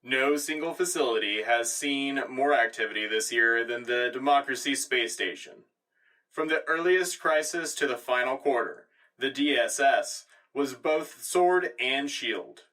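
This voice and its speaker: A man's voice: mid-range voice, unhurried, sharp tone, far from the mic, poor audio. A man playing an earnest, patriotic wartime radio anchor, delivering a solemn, declamatory scripted newscast. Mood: solemn, rousing. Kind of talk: scripted propaganda newscast narration.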